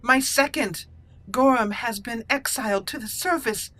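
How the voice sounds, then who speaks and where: Woman acting out a proud exiled dwarf noble lady, formal, dramatic, bright voice, game roleplay. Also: on the high side, measured pace. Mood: grave, formal.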